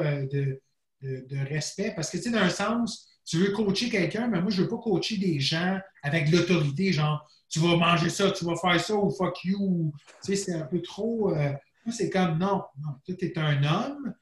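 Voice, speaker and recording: A man's voice: a little high, normal speed, reverberant. A man, a coach talking in a casual podcast conversation, rambling and reflective and slipping in English phrases. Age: 40s. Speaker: guest, bodybuilding coach.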